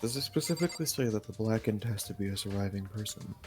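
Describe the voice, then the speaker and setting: A man's voice: on the low side, expressive, fast, a little mellow, faint music behind. A man chatting casually as a co-player on a game stream. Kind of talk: casual chat.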